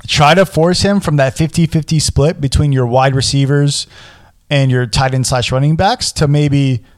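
Hoarse young male podcast host, casual and animated football breakdown, mid-pitched voice. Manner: animated, repeats words, pumps up with singsong callouts. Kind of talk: solo football preview analysis.

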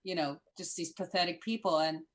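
A woman guest in casual conversation, telling an emphatic, animated story. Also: fairly low pitch, brisk.

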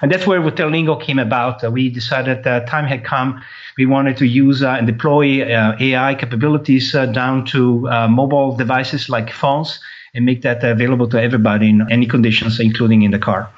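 A man, a tech company founder, answering interview questions in a halting way full of "uh" fillers. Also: mid-range voice, steady tone. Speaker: company founder and CTO guest. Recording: dull lo-fi line.

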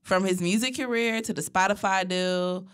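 A woman co-hosting a podcast in casual chat, speaking in a natural monotone, blunt and profane as she lays out a story at length. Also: medium pitch, moderate pace, clean tone. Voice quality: self-described natural monotone. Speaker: podcast co-host.

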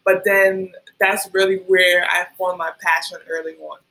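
A woman's voice: lowish voice, little pitch movement, moderate pace, sharp tone, rough recording. A woman who grew up in Liberia, a hair stylist as guest on a podcast, talking casually with many ums and likes. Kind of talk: casual interview.

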